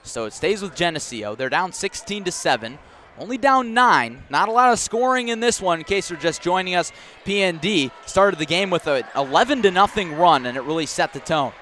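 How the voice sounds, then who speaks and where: Male radio basketball announcer, fairly high voice, clipped running call, light game noise in background. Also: lively intonation, fairly quick, pushed and bright. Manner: clipped running description, rising on big plays. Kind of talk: live radio sports commentary. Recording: faint gym noise, bouncing balls. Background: basketball game in a gym.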